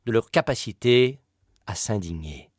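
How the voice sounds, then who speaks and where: A man's voice: on the low side, animated, measured pace, slightly rough, no echo, clean studio sound, voice pushed down. A Quebec man playing a pompous, haughty intellectual in a comic radio monologue, with theatrical, affected diction, indignant and rambling. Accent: Quebec French. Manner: theatrical, affected formal diction with crude slips.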